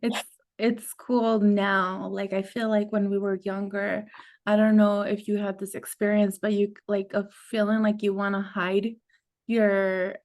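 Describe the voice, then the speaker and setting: A woman's voice: medium pitch, level tone, a little slow, darkish tone. Chatty woman coach, laughing easily. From United States, Mexican parents. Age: young adult. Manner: chatty, laughs often, many "like" fillers. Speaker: guest, abundance coach. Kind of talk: casual podcast conversation.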